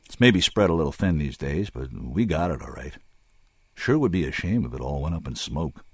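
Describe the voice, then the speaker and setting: A big, kindly barkeeper of few words from a storybook, put on by a male reader. The voice sits deep and rough, with a growl and a folksy drawl. The sound is dry and close. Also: sing-song, moderate pace, a little mellow, no echo, exaggerated voice. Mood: laconic, kindly.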